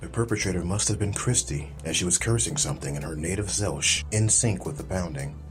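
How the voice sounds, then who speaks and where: A man's voice: low-pitched, fairly quick. A man reading scripted dramatic story narration as an audiobook narrator. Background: music.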